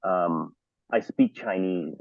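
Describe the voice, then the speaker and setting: A deep-voiced man talks on a panel in a halting way, full of ums and repeated words. His voice comes through dry and narrow, like a phone call. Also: no echo. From Los Angeles area, United States. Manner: halting, many fillers and restarts. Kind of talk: panel discussion. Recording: thin phone-like audio.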